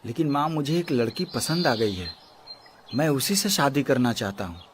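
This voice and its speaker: A man's voice: mid-range voice, animated, normal speed, background noise, natural voice. A man playing an earnest, resolute young engineer and devoted suitor in a moral story drama. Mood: earnest, firm. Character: earnest, lovestruck young male engineer, firm-minded son. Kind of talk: Hindi moral story voice acting.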